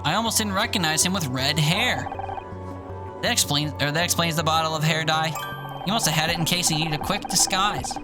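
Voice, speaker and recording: A man's voice: on the high side, a little fast, music in the background, natural voice. A man playing an earnest, determined amateur sleuth, reading a detective visual novel dramatically. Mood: dawning realization.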